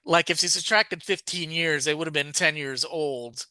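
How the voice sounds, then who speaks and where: A man's voice: a little high, moderate pace, pushed and bright, dry recording. A man co-hosting a podcast, chatting casually and rambling with lots of fillers and self-corrections. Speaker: podcast co-host. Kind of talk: casual chat about a TV show.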